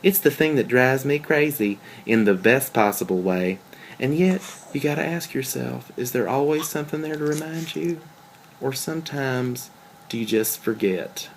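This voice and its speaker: A man's voice: mid-range voice, expressive, moderate pace, slight background noise, close to an everyday voice. A man reading as a jealous, needling boyfriend. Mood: wistful. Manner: questioning, conversational, wordy. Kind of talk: table read of a two-person stage play.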